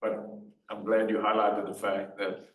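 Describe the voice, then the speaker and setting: Low-voiced man calling out from a hall. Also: flat delivery, measured pace. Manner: loud, wry, chuckling. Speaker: audience member asking. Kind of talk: question from the audience. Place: lecture hall. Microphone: far from the mic. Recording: roomy hall from far off.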